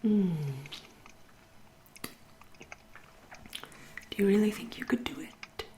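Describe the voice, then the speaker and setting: A woman with an English accent playing a seductive, wry, refined female vampire in a soft, whispered ASMR roleplay, speaking slowly and formally. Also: mid-range voice, fairly even intonation, natural voice. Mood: cautioning, arch. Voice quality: soft, breathy, often whispering. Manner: slow, lingering, genteel, archly formal. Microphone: close ASMR microphone.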